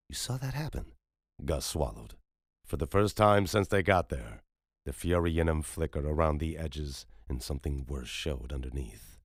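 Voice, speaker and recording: Very deep, husky male horror narrator. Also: no room sound, studio quality. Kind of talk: scripted horror fiction narration.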